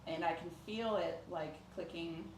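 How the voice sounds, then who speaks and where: A woman's voice: lowish voice, steady tone, a little slow. A woman speaking informally as a patient, casually recounting symptoms with lots of "like" and quoted remarks. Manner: informal, peppered with "like", quoting others. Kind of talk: casual conversational account of symptoms. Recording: roomy echo with clear background noise.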